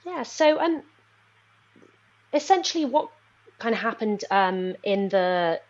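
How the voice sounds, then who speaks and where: A young woman from Nepal, a researcher talking as a podcast guest, thoughtful with many ums and quick bursts. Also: medium pitch, big pitch swings, highs cut off. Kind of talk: podcast interview.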